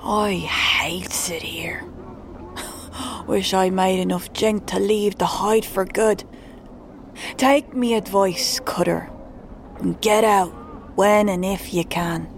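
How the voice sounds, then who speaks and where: Woman voicing a slow, weary, slangy street mother. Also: lowish voice, steady tone, a little hiss or hum. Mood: weary, resigned. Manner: slangy, rough street dialect. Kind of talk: fantasy audio drama acting.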